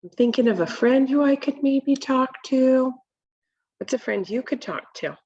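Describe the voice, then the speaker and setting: Slow, higher-pitched woman reading to children. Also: animated, a little mellow, slightly rough, highs cut off. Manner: deliberate, instructional, asks the listener questions. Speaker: read-aloud presenter for children. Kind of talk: children's picture book read-aloud with commentary. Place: home kitchen, indoors.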